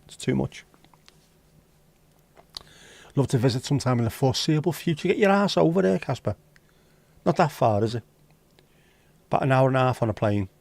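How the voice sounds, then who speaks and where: Mellow-voiced man bantering with chat. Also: mid-range voice, expressive, fast talker, vocal fry, no echo. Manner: teasing, jokey banter with casual swearing. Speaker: livestream host reading chat. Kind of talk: casual chat. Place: home livestream setup.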